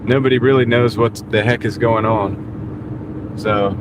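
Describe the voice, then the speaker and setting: A man's voice: mid-range voice, fairly even intonation, measured pace. Chatty man streaming from his car. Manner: chatty, greets viewers and chuckles often. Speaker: delivery driver livestreaming. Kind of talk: casual livestream chat while driving. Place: inside a car on the road. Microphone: small wireless clip-on mic. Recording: car road noise in background.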